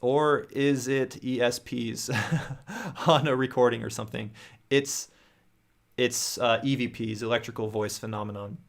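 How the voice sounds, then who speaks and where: Gravelly-voiced young man reading stories. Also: mid-range voice, steady tone, a little slow. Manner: reads aloud with repeated restarts and reactions. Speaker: host reading viewer stories. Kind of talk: livestream reading viewer stories aloud. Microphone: studio mic on boom near face.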